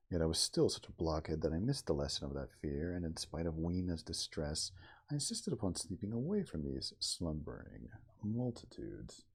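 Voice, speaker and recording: A man reading literary fiction aloud in a measured, theatrical, formal storytelling manner, in an ASMR style. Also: sits low, creaky, darkish tone, husky. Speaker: audiobook-style reader.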